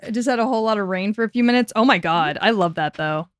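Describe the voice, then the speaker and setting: A woman's voice: medium pitch, animated, fast talker. A woman in her twenties chatting casually on a game stream, laughing easily, swearing, and muttering to herself as she works things out. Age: 20s. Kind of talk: casual gaming stream chat.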